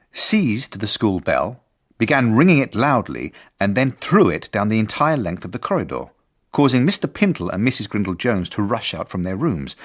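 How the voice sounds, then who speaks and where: Put-upon headmaster, lowish voice that moves about a lot, dry and formal, radio play narration. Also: male voice. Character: earnest, polite, beleaguered male school headmaster. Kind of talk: radio drama narration and dialogue.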